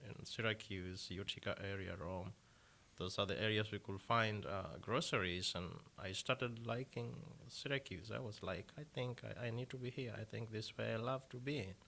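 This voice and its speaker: A man with a Kenyan accent answers interview questions, his voice a bit low but bright and forceful. He talks haltingly, with many fillers and restarts. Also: creaky. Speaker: deacon, interview guest.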